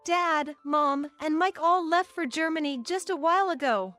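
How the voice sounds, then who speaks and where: A woman's voice: high-pitched, normal speed, fairly bright tone, soft background music, voice pushed up. A woman voicing an astonished grandmother, startled and urgent, in a narrated story. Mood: surprised, worried. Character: astonished grandmother finding her abandoned grandchild.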